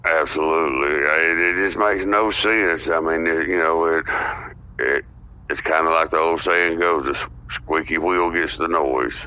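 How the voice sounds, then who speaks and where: A man's voice: extremely low pitch, steady tone, measured pace, clean tone. A man talking as a podcast guest in casual political chat. He agrees readily and fills his talk with "you know". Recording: muffled lo-fi with faint noise.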